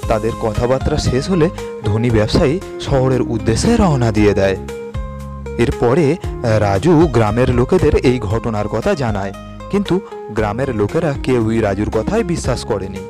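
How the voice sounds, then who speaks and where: A man's voice: medium pitch, lively intonation, moderate pace. A man narrating a children's moral story as a scripted storybook narrator. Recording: loud music under the voice.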